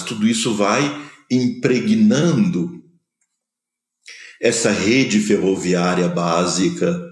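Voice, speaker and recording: A man from Minas Gerais, Brazil, speaking with a Minas Gerais accent, gives a slow, measured spiritual lecture, pausing between short phrases. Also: low voice, reverberant. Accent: Brazilian Portuguese, Minas Gerais. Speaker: spiritual teacher. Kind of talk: spoken lecture on scripture.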